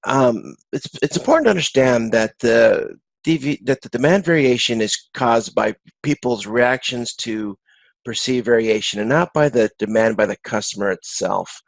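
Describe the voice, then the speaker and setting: A man giving an informal online lecture as an instructor, explaining in a halting way and often rephrasing himself. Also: medium pitch, expressive, vocal fry, dry recording. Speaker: course instructor. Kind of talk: informal recorded video-conference lecture with slides.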